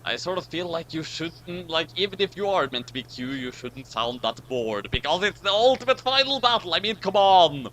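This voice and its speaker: An animated man with a slightly high voice gives casual riffing commentary as a co-commentator. A faint noisy hum sits behind him. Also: normal speed, hardly any pauses.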